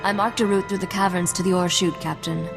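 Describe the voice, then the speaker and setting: A woman's voice: lowish voice, fairly even intonation, fairly quick, creaky, long reverb tail, music in the background. An actress playing a shrewd, self-assured woman mechanic among space smugglers, with a dry, sardonic manner, in a video game. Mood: cool, focused. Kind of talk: video game cutscene acting.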